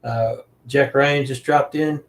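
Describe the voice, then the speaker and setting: A man hosts a podcast in a relaxed, easygoing way. He thanks his viewers and often calls his guest "man". His voice sits at an ordinary pitch. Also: slow pace. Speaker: podcast host. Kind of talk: casual interview chat.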